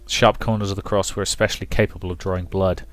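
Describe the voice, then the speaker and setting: A man's voice: low voice, little pitch movement, fast talker, soft background music. A man reading a visual novel aloud as dramatic story narration. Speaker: let's play narrator.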